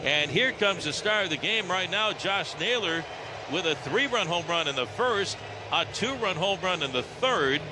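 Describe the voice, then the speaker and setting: A man's voice: high voice, expressive, moderate pace. An American man doing live baseball play-by-play on the radio, describing the action as an announcer. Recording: clearly noisy live ballpark radio broadcast.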